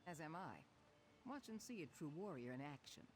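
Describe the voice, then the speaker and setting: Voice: medium pitch, big pitch swings, moderate pace, background noise, natural voice. An actor playing a proud, boastful soldier in a fantasy game. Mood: taunting.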